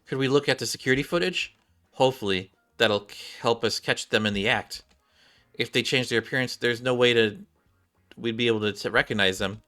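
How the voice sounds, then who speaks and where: A man's voice: mid-range voice, fairly quick, pushed and bright, faint music behind. A man reading game cutscene dialogue aloud, now and then stumbling over words and rereading them.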